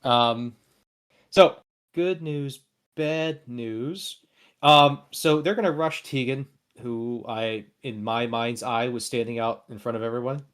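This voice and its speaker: A man's voice: medium pitch, takes it slowly, fairly bright tone, smooth. A man narrating a tabletop game as game master in a casual, improvised, playful way.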